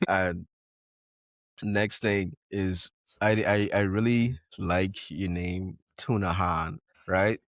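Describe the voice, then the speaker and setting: A man's voice: deep voice, fairly even intonation, extremely slow, dry recording, clean studio sound. A man hosting a podcast, chatting casually and rambling with lots of "like" and frequent laughter. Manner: rambling, heavy use of "like", laughs a lot. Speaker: podcast host.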